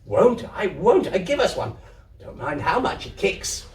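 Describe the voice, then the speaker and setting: An excited young boy acted out by a man in a storybook reading. He is gleeful and cocky, pitched high and repeating himself eagerly. The sound is distant and echoing. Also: unhurried, exaggerated voice. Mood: thrilled. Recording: roomy echo, distant microphone.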